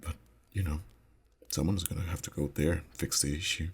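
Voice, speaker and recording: Very deep, soft-spoken boyfriend played by a man. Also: fairly even intonation, fairly quick, breathy edge, heavily acted. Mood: relaxed, musing. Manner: soft, intimate, slipping into whispers. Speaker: voice actor. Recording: faint ambient background noise.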